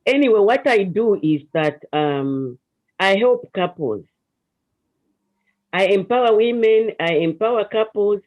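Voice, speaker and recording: A woman with a Zambian accent and a deep voice, earnestly explaining her counseling work as a guest in an online interview, with hesitant restarts. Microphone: video call. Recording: lo-fi video call audio.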